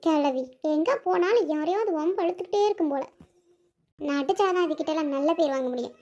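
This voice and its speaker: A woman's voice: very high voice, moderate pace, dark tone, highs cut off. A woman voicing a resentful, sly elder daughter-in-law who mutters sarcastic asides in a family drama. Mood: bitter, sarcastic. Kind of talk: amateur family drama dialogue.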